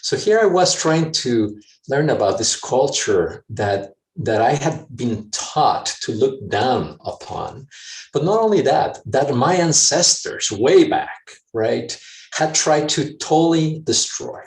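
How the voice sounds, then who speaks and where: A man from Mexico City telling a personal story to an audience in a reflective way, pausing often with fillers and restarts. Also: mid-range voice, sing-song, roomy sound, far from the mic, poor audio. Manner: hesitant, many fillers and restarts. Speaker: speaker sharing a personal story.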